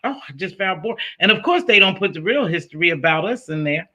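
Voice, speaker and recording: High bright chatty man host. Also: lively intonation, fast. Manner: chatty, storytelling, asks questions. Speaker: interview host. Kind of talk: casual interview chat.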